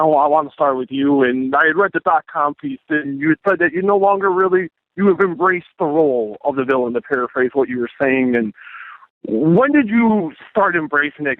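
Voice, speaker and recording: A man's voice: a little high, no room sound. A man working as a sports journalist, politely putting questions at a press conference by telephone. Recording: very muffled narrowband phone line.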